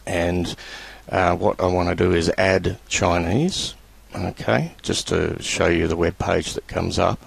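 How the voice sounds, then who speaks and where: A man presenting a screen-recorded computer tutorial, talking in a relaxed, halting way with many fillers. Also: sits low, gravelly, breathy edge, no echo.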